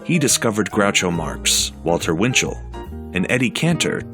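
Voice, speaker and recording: A man giving measured, formal scripted narration for a documentary. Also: sits low, big pitch swings, music in the background.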